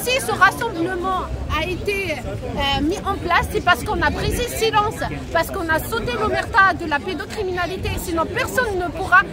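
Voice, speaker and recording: A woman's voice: very high-pitched, normal speed. A woman activist talking emphatically on a city street, repeating her points with indignation. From Kabyle Berber descent. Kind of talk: street conversation. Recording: noisy outdoor crowd.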